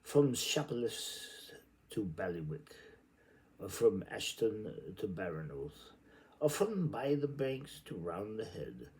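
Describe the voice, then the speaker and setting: A man's voice: fairly low pitch, sing-song, takes it slowly, darkish tone. A man doing an informal read-aloud of a literary novel, declamatory when reading and muttering rambling asides to himself.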